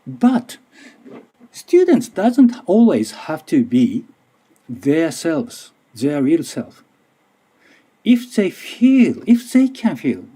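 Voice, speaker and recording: A man's voice: a little high, warm tone, breathy edge. A middle-aged man from Japan with a Japanese accent, speaking English as a second language and giving a careful, slightly nervous conference talk with frequent restarts. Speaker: university lecturer. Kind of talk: conference presentation.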